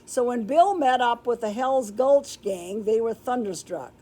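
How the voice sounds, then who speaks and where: Slow-paced older woman reading a storybook. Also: fairly high pitch, expressive, mellow. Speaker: storybook reader. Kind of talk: read-aloud children's storytelling. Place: indoor room with books, like a classroom or library.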